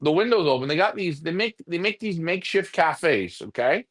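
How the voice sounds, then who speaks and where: A man's voice: fairly high pitch, expressive, normal speed, fairly bright tone, dry recording, clean studio sound. A man co-hosting a pigeon racing show, chatting casually and telling stories as he goes. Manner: rambling storyteller, puts on voices in quoted talk. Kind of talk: casual chat.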